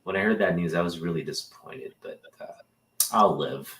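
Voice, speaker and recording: A man in his forties joins the conversation as a co-host. He speaks in a relaxed, chatty way, with a deep, low voice. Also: normal speed, low-quality recording. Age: 40s. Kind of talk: casual chat.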